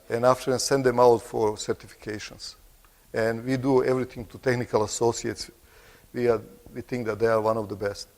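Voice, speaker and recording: A middle-aged man with a Croatian accent gives a conference talk in a big hall. His voice is gravelly, and the long reverb of the room trails behind each phrase. He pauses, restarts his sentences and clears his throat now and then. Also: medium pitch, darkish tone. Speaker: conference presenter. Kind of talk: conference presentation.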